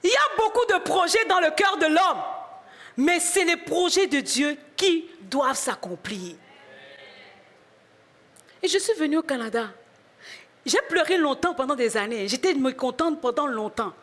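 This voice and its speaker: Brief: high-pitched woman from Benin, middle-aged preacher, testimony-style sermon, swings from quiet to shouting. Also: animated, a little slow, long pauses. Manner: swings from hushed to loud exclamations.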